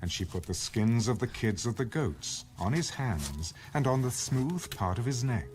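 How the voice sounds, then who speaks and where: A man narrating a dramatised audio Bible as scripted storytelling, with measured phrasing. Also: medium pitch, very animated, darkish tone, a little hiss or hum. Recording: background music under the voice.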